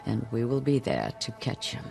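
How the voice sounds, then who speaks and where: A woman acting a bitter, vengeful older noblewoman warrior in a video game, severe and proud, with a gravelly voice. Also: rumbling low, sing-song, moderate pace, music in the background, exaggerated voice. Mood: cold contempt.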